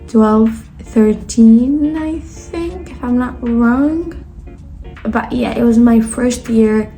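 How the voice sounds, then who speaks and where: A woman's voice: fairly high pitch, warm tone, rough recording. A nineteen-year-old woman from Spain chatting casually in a sit-down question-and-answer vlog, rambling with lots of fillers and self-corrections. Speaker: vlogger. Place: indoors at her sister's home.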